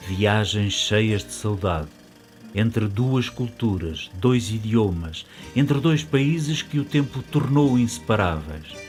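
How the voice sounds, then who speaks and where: A middle-aged man with a fairly low voice reads a first-person documentary narration. His delivery is reflective and nostalgic, and soft music plays behind him. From Portugal, later living in France.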